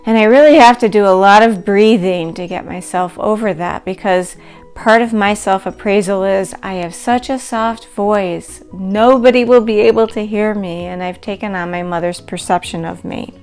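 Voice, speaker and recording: A middle-aged woman teaching a recorded lecture, explaining concepts in a clear, measured way. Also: medium pitch, a little mellow, faint music behind. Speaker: instructor.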